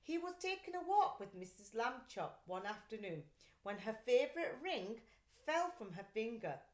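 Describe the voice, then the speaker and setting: A woman reading a children's story aloud like a teacher, in an expressive, deliberate, carefully phrased way. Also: a little high. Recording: echoey, roomy sound.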